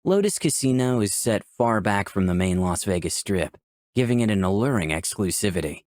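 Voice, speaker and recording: A man's voice: fairly low pitch, expressive, normal speed, darkish tone, no echo, clean studio sound, lightly acted. A man reading as a flirty, cocky personification of Lust, narrating a visual novel in a musing, theatrical way. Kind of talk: first-person visual novel read aloud.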